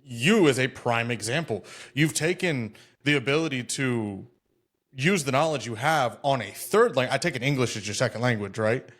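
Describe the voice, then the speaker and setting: A man's voice: medium pitch, expressive, normal speed, fairly bright tone. A man from the United States hosting a podcast over a video call, talking in an easygoing, enthusiastic way. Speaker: podcast host. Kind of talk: casual interview chat.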